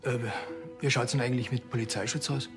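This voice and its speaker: An actor playing an uneasy, hesitant town culture official in a TV crime series. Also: male voice, medium pitch, fairly even intonation, fast talker, music in the background, lightly acted. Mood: guarded, uneasy.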